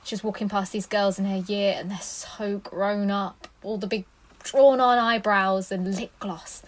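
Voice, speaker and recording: A woman's voice: mid-range voice, fairly even intonation, natural voice. An actress playing an anxious, protective mother, confiding and reflective in a naturalistic audio play. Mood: protective, wounded. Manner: confiding, unhurried.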